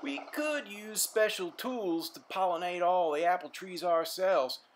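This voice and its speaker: A woman's voice: on the low side, measured pace, background noise, voice pushed up. A woman voicing a kind, helpful male farmer in a children's story. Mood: friendly.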